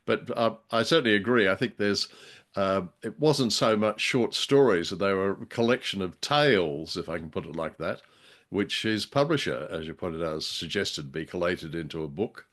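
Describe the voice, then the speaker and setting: A man's voice: deep voice, lively intonation, moderate pace, fairly bright tone. A man co-hosting a podcast, chatting casually in a droll, wordy, hesitant way. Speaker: podcast co-host. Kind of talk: casual chat, radio drama discussion.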